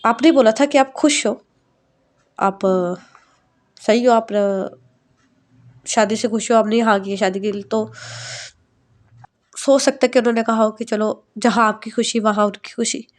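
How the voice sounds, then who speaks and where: A woman voicing a cheeky younger sister who talks in a calm, matter-of-fact, reasoning way. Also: a little high, unhurried, long pauses, lightly acted.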